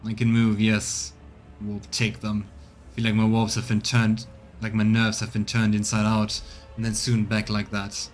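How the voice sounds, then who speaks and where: A man voicing a proud, stubborn interrogator in a game, weakened by torture, speaking formally and haltingly in a strained, bitter way. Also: lowish voice, completely flat delivery, soft background music, close to an everyday voice. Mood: strained, resolute. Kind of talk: acted reading of game dialogue.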